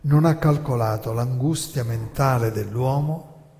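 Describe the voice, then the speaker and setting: A man narrates a dramatic monologue with a soft, dark-toned voice. His delivery is measured and declamatory, like stage storytelling. Long hall reverb trails behind his words. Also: medium pitch. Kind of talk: theatrical scripted narration with dramatic recited quotations.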